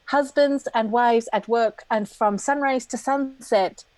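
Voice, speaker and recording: A middle-aged woman speaking as a guest in a live online talk, lively and earnest, laughing often. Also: on the high side, a little slow, background noise.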